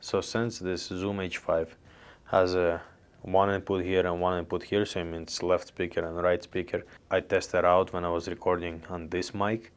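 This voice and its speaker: Deep-voiced young man, flat and casual. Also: normal speed, smooth. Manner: loose, chatty, unpolished phrasing with restarts. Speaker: gear reviewer. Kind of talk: casual product review vlog. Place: small indoor office room. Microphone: handheld reporter mic and lavalier, on-camera framing.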